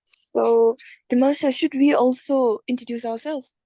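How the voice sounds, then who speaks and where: A woman's voice: high voice, steady tone, measured pace, mellow, no room sound, rough recording. A woman in her twenties moderating an informal online webinar. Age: 20s. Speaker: session moderator and consultant. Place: online video call.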